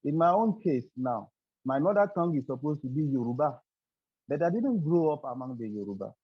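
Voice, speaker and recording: Man, webinar guest, earnest and halting, dry muffled call audio. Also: a little high, lively intonation, breathy edge, no echo. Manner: earnest, repeats words while searching for them. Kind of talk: formal presentation. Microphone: video call.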